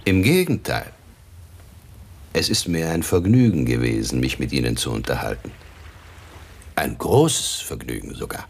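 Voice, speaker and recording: Icy menacing Frenchman, extremely deep, swooping intonation, deliberate, silkily polite, radio drama. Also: big pitch swings, vocal fry, slight background noise, exaggerated voice. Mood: suavely courteous. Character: offended, icily courteous, menacing Frenchman.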